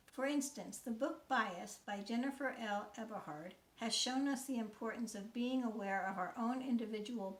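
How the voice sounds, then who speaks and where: Woman, 60s, teacher reading a talk, earnest, a little high-pitched, roomy recording. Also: fairly bright tone. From United States. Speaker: teacher and mentor. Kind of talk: scripted talk, read aloud.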